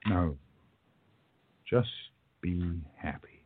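Man acting a melancholy low-voiced time traveler. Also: expressive, drawn-out words, heavily acted. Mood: flustered, kindly. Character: kindly, melancholy, self-styled curmudgeon male time traveler. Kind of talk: radio play acting. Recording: narrow tinny phone-like audio.

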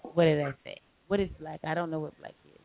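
A woman's voice: deep voice, steady tone, brisk. A woman hosting a late-night call-in radio show. She argues back emphatically and repeats herself. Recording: tinny phone line with faint hiss.

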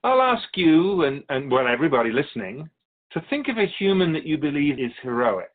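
A man playing a patient, wise, otherworldly Keeper of Souls who teaches in a measured, philosophical way in a radio drama. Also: fairly high pitch, very animated, phone-quality sound. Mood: patient, instructive. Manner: measured, philosophical, gently instructive. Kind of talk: scripted radio drama dialogue.

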